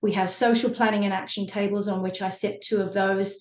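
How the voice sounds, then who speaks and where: A British woman talks with steady, earnest passion, stopping often on small fillers. Her pitch stays flat and even, and the sound is roomy and a little dull, like a video call from an echoey room. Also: fairly quick. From Britain. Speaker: community support team member. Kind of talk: online panel conversation.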